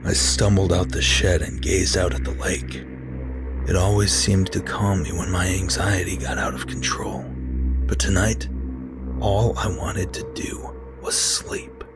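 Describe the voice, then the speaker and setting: A man's voice: low voice, level tone, a little slow. A man voicing an anxious, obsessive-compulsive office worker turning coldly violent, in a tense, dramatic first-person horror narration. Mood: weary, tense. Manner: dramatic, tense, lurching from hushed murmurs to anguished cries. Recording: music behind the voice.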